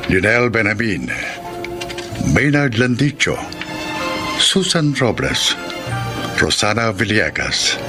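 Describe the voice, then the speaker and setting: A man announcing and hosting a radio drama in a solemn, deliberate, dramatic scripted delivery. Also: fairly low pitch. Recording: music under the voice.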